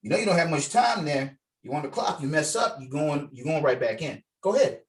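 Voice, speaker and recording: A man's voice: a little high, animated, fairly quick, natural voice. A man playing a stern, sneering parole officer in a script table read, threatening and mocking, with clipped orders. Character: stern, menacing, sneering male parole officer on a video call. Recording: distant mic on online call.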